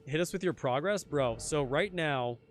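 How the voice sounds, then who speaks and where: A young man streaming a game, chatting casually with his viewers, his voice a little hoarse. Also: on the high side, lively intonation, normal speed, slight background noise. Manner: chatty, answers chat, repeats phrases. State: slightly hoarse. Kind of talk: casual live-stream chat while gaming.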